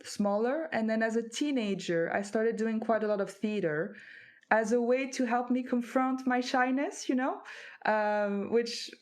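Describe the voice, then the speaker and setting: A woman's voice: on the high side, unhurried, darkish tone. A woman from France, a native French speaker, speaking as a guest author and recounting her path in a thoughtful, reflective way with hesitant fillers. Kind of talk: conversational interview.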